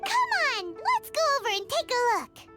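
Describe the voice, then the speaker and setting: An extremely high, squeaky little fairy for an anime game. She is childish and excitable, swooping wildly in pitch over prominent music. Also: normal speed, heavily acted. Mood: eager. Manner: speaks of self in third person, exclamatory. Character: tiny excitable female fairy companion, childish and huffy. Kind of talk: anime-style game voice acting. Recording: children's tinkly music behind voice.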